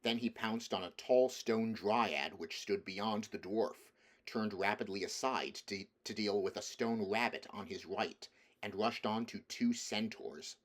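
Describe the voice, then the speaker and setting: A man's voice: lowish voice, unhurried. A man reading a children's storybook aloud as scripted narration. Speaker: audiobook reader.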